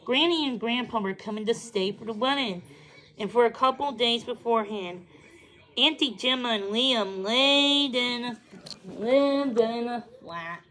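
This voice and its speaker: Woman, fairly high voice, children's read-along, reading aloud, occasional stumbles and rereads. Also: unhurried, pushed and bright. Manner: stumbles over words and rereads them. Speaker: storybook reader.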